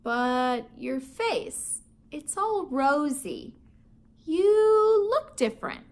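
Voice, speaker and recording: A woman reading a soft-spoken older wife. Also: sits high, big pitch swings, very slow, heavily acted. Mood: gentle.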